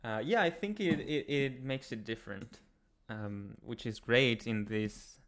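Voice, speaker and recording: A young man from Chile with a Chilean Spanish accent, hosting a casual livestream and answering chat in a soft, hesitant way with many pauses. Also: mid-range voice. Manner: soft-spoken, hesitant, many ums and restarts. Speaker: ASMR channel host. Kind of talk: casual livestream chat, reading viewer comments.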